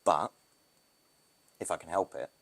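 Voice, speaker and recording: British man, very low voice, casual rambling podcast guest, photographer. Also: unhurried, creaky. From Britain. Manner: loose, rambling, with restarts and asides. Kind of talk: casual interview talk.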